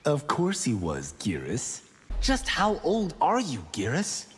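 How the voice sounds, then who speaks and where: A man's voice: fairly high pitch, wildly swooping pitch, slow, a little hiss or hum, full character voice. A man playing a brash, self-assured young monk fighter in dramatic video game dialogue. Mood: cocky, challenging. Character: cocky, quick-witted young male monk fighter. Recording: long reverberant hall echo.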